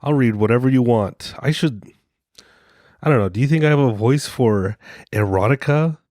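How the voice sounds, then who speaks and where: A man's voice: medium pitch, moderate pace, a little mellow, no room sound, clean studio sound. A man co-hosting a podcast, rambling in a joking, self-mocking way, a little congested from a cold. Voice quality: soothing voice. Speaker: podcast co-host. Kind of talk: casual rambling monologue.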